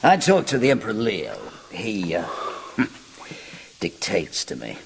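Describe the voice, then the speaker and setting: An actor in a radio drama playing a powerful, coolly menacing Roman kingmaker, measured and sardonic. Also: male voice, fairly low pitch, wildly swooping pitch, gravelly, a little hiss or hum, exaggerated voice. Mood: wry, calculating. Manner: measured, sardonic, veiled threats.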